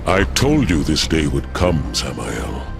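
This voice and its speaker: An actor playing a boastful, brutish demon warlord in a video game, loudly declaiming threats. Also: male voice, rumbling low, sing-song, unhurried, creaky, music in the background, heavily acted. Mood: menacing triumph. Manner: loud, menacing, declaiming. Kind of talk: video game cutscene acting.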